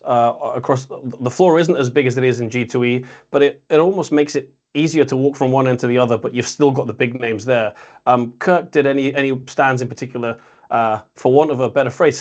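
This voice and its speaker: A man co-hosting a casual conference recap, talking in a hesitant, rambling way with repeated words. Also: mid-range voice. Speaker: co-host reporting on an industry conference.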